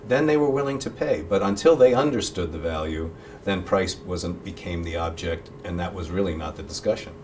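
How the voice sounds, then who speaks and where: A man's voice: low-pitched, fairly quick, darkish tone, a little hiss or hum. A middle-aged man interviewing a guest, asking questions and affirming with short replies. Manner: affirming, many short backchannels. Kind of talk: interview conversation.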